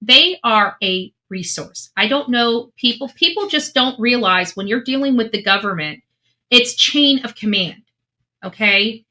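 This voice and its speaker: A woman's voice: fairly high pitch, animated, unhurried, sharp tone. A woman giving casual true crime commentary, earnest and emphatic, often restarting her sentences.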